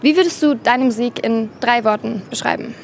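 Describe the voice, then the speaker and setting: A woman's voice: a little high, fairly quick, slight background noise. A woman asking informal interview questions in a friendly, conversational way.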